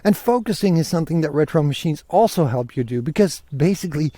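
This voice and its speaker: A man hosts a solo podcast in a slightly high, mellow voice. His talk is casual, conversational and keen, recorded very dry in the open air on a portable rig. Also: expressive, quick pace, dry recording. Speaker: podcast host. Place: outdoors, walking through countryside fields.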